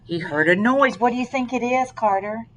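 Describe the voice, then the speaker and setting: A woman reading a picture book aloud to a young child, expressive and prompting with questions. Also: a little high, moderate pace, a little hiss or hum.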